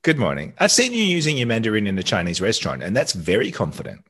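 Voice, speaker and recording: A man's voice: on the low side, sing-song, fast talker, fairly bright tone, slightly rough. A man from Australia co-hosting a casual podcast, telling stories and teasing his co-host with dry humor.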